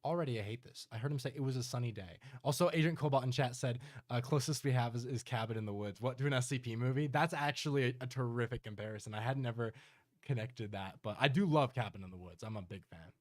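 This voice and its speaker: A man's voice: medium pitch, fast, no room sound. A man streams his reactions in a casual, conversational way. He is sarcastic and jokes as he comments. The sound is close and dry. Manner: sarcastic, joking. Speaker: streamer reacting to horror videos.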